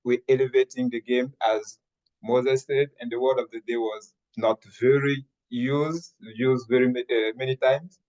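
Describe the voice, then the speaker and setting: Male evaluator, medium pitch, halting informal delivery, dull low-fidelity recording. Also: fairly even intonation, darkish tone. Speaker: grammarian, evaluator. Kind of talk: informal spoken feedback to a meeting. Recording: dull lo-fi audio.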